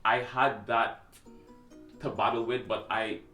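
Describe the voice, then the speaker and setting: A man's voice: mid-range voice, fairly even intonation, slow pace, sharp tone. A man from Guyana, a fashion designer, chatting loosely and laughing easily. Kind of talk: casual chat. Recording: roomy, distant mic, faint music.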